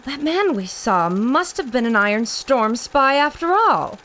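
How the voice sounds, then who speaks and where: Plucky high-voiced girl reporter played by a woman. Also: sing-song, unhurried, fairly bright tone, a little hiss or hum, exaggerated voice. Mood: determined. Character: plucky, self-justifying female radio reporter adventurer. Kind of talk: old-time radio reporter acting.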